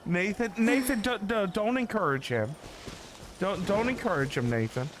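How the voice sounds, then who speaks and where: A man doing casual live stream commentary on a game, rambling and halting as he repeats his words. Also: high-pitched, expressive, background noise. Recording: game music behind the voice.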